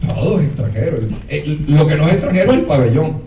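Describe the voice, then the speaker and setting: A man with a Venezuelan accent lectures at a conference, sitting slightly higher than most men's voices. He tells animated stories, stumbling and restarting with fillers. The voice echoes through a reverberant hall, caught by a microphone some distance away. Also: quick pace, a little hiss or hum. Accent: Venezuelan Spanish. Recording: roomy hall, mic far off.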